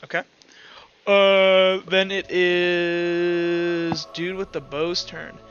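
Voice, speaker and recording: A man's voice: high voice, flat delivery, drawn-out words, fairly bright tone, highs cut off, faint music behind. A man acting as game master in a casual, informal tabletop roleplay session over voice chat. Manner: informal, swearing. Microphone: online voice call.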